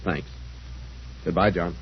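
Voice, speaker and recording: A man playing a smooth-talking, wayward gambler brother in an old-time radio drama, casual and coaxing. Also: low voice, fairly even intonation, slow pace, full character voice. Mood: solicitous. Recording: muffled, hissy old radio recording.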